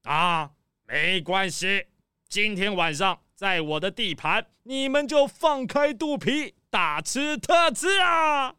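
An actor playing a pompous, gluttonous rich male crocodile boss in a children's audio drama, showy, with drawn-out exclamations and sighs. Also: sits high, lively intonation, sharp tone, clean tone, no room sound, studio quality, full character voice. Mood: pompous, boastful.

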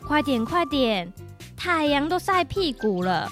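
Woman as brisk high-voiced Taiwanese mom. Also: sing-song, fairly bright tone, faint music behind, full character voice. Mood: hurrying. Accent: Taiwanese Mandarin. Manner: bossy, coaxing. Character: brisk, nagging, caring working mother. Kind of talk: radio drama acting.

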